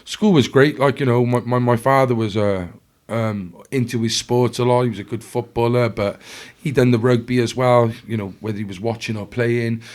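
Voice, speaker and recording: A man's voice: medium pitch, fairly even intonation, normal speed, few pauses, darkish tone. A young man from Wales with a South Welsh accent, a podcast guest telling his life story in a loose, rambling way full of restarts and fillers. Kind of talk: casual storytelling chat.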